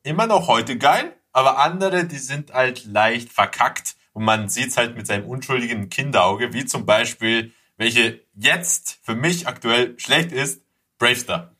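A man's voice: medium pitch, measured pace, bright, forceful tone, breathy edge. A man chatting casually, enthusiastic and slangy in the way he talks. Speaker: podcast guest or co-host. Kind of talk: casual chat about childhood cartoons.

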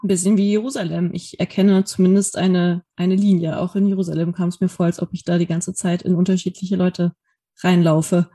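A woman's voice: fairly low pitch, level tone, a little fast, warm tone. A woman hosting an interview, asking curious follow-up questions in a casual tone. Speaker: podcast host.

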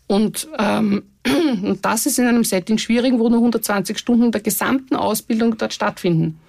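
A woman with an Austrian accent speaking as a care organization representative in a podcast discussion, thoughtful, with many pauses to rephrase. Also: mid-range voice, vocal fry, a little mellow, no room sound. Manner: thoughtful, many fillers and restarts.

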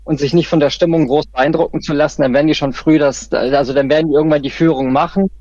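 A man's voice: on the high side, steady tone, quick pace, a little mellow, dry recording, lo-fi sound. A man joining a podcast as a guest football fan, chatting casually and hesitantly, thinking aloud with many fillers.

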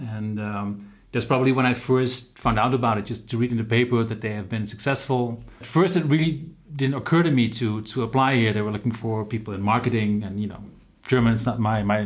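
Dutch-accented man in easygoing interview. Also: mid-range voice. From Netherlands. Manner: relaxed, thoughtful, with frequent fillers and restarts. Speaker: interview guest. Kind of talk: conversational interview.

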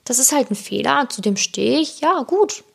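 A woman's voice: sits high, animated, normal speed, vocal fry, slightly rough. A woman who is not a native German speaker, hosting an informal motivational podcast as a coach, chatting directly and personally to the listener. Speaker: podcast host and self-love coach.

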